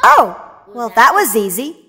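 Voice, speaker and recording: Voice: mid-range voice, very animated, a little slow, vocal fry, pushed and bright, husky, in a large hall, heavily acted. An actor voicing an earnest young adventurer in a children's cartoon, with faint music behind. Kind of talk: animated children's cartoon acting.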